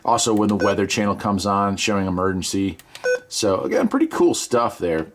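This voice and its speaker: A man's voice: fairly low pitch, expressive, normal speed. An American man giving a casual hands-on gadget review in a conversational, enthusiastic way with folksy asides. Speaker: product reviewer and video host.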